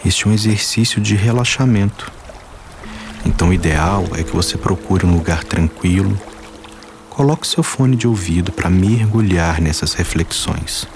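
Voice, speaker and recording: A man guiding a meditation, speaking calmly and slowly in short phrases with long pauses. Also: low voice, a little hiss or hum. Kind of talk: guided meditation. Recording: voice-over with music and water sounds. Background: soft music, rain and running water.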